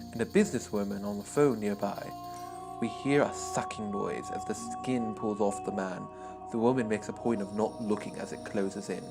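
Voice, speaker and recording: A man storyteller narrating a story as if describing scenes from a film. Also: on the low side. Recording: clear music behind the voice. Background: music.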